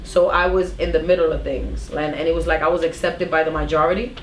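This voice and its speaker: A woman, a podcast guest telling stories in a lively, profane, restart-filled way. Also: low voice, fast talker, slight background noise. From Harlem, New York. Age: 30s. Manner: animated, profane storytelling with many restarts. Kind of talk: casual chat. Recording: echoey room, mic from a distance.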